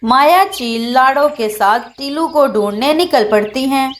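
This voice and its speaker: Female narrator for children's tales: high voice, unhurried scripted delivery. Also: animated.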